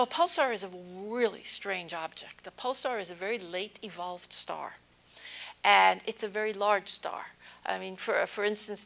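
A woman's voice: medium pitch, gravelly, a bit bright. A woman in her sixties giving an informal public science lecture, explaining things conversationally with plain-spoken asides. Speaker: astronomy lecturer. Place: indoor stage at a podium. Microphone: lapel mic.

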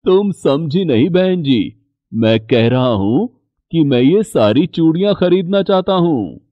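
A man's voice: fairly high pitch, expressive, unhurried, dry recording, lo-fi sound. A man voicing a kindly bangle merchant. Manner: polite, sympathetic. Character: kindly middle-aged male bangle merchant. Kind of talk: animated story dubbing.

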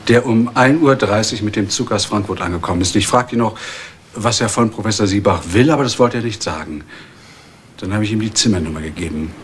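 An actor playing a matter-of-fact hotel clerk giving precise, detailed witness testimony in a 1980s German crime radio drama. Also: male voice, fairly low pitch, close to an everyday voice. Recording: faintly noisy old radio recording.

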